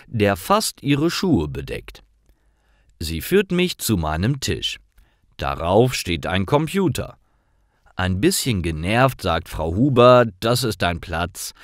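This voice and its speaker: Male narrator, very slow pace, lively intonation, deliberate storytelling, language-learning stories. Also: on the low side, no room sound, studio quality.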